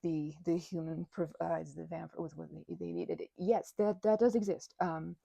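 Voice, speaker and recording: A woman playing a timid, grateful vampire in an intimate audio roleplay, stammering and nervously flustered, with a soft, breathy voice. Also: lowish voice, steady tone, normal speed, no room sound.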